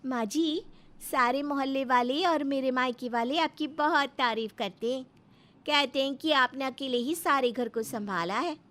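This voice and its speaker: A woman's voice: high voice, a little slow, fairly bright tone, voice pushed up. A woman voicing an eager, scheming young daughter-in-law with a wheedling, sweet-talking manner in a moral story. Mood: flattering.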